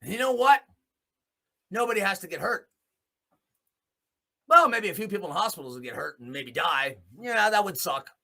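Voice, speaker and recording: A man with a fairly high, forceful voice talks off the cuff as the host of his own commentary show. He is blunt and opinionated, speaks in short punchy phrases and swears freely. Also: animated, normal speed, creaky, pauses often. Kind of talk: unscripted opinionated monologue.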